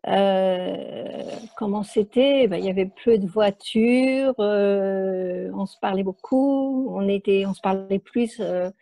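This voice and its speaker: A woman in her late sixties from Paris with a Parisian French accent, a guest speaking softly and hesitantly about her spiritual path in a remote interview. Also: medium pitch, takes it slowly, no echo. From France, Paris. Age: 60s. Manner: soft, hesitant, many fillers and repeats. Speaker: guest author.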